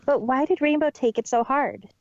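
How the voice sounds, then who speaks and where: A woman plays a haughty female cartoon unicorn magician with a high voice and a hammy, theatrical delivery. She talks grandly of herself in the third person. The recording is dry and somewhat dull and lo-fi. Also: normal speed, darkish tone, no room sound, lightly acted. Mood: puzzled.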